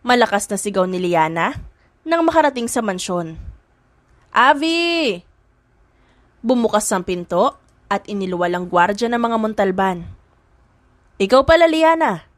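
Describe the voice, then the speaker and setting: A woman's voice: medium pitch, lively intonation, moderate pace, no room sound. A woman with a Filipino accent reading a scripted drama story as an audiobook narrator.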